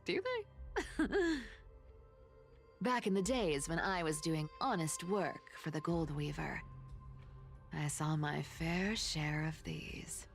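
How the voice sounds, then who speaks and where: Game assassin, female: sly, teasing, coolly menacing, breathy, very lively pitch, unhurried, music behind. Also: full character voice. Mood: amused musing. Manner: breathy, teasing, drawling with amused chuckles. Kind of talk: English game voice acting, theatrical monologue.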